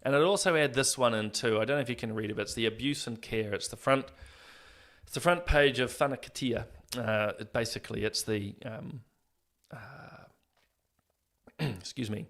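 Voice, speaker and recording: A man hosting an opinion commentary show, earnest and morally indignant, rambling with fillers and going a little hoarse. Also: mid-range voice, normal speed, a bit bright. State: losing his voice. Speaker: host.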